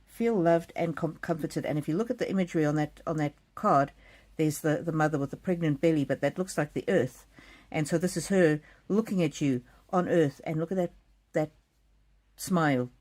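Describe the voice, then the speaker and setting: A middle-aged woman from South Africa giving a gentle live oracle card reading, speaking softly and addressing viewers by name. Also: low-pitched, moderate pace. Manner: gentle, soothing, talks straight to viewers by name. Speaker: card reader.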